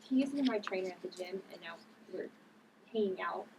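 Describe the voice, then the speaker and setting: A woman's voice: mid-range voice, expressive, brisk, gravelly, darkish tone. A woman at a table read playing a casual, defiant vigilante sister. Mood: defensive. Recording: distant mic, noisy room.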